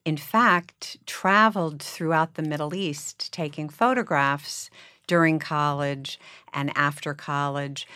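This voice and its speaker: A middle-aged woman, a photographer and filmmaker, answering interview questions in a steady, measured way. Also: deep voice, clear, resonant tone, dry recording, clean studio sound.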